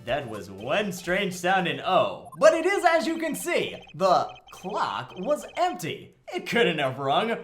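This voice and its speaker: A woman as a wildly animated, cheeky defense lawyer. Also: on the low side, takes it slowly, few pauses, faint music behind, full character voice. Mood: wisecracking. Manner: sassy, theatrical, joking asides. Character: cheeky, dramatic, wisecracking male defense attorney. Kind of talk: comedic visual novel game fandub.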